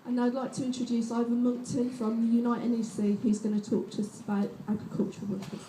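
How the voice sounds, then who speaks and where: A woman reads steadily from her notes at a public rally. Her voice is mellow and slightly high. She sounds far from the mic, in an echoing space. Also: level tone, fast talker, a little hiss or hum. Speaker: rally organiser introducing speakers. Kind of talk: read-out speech.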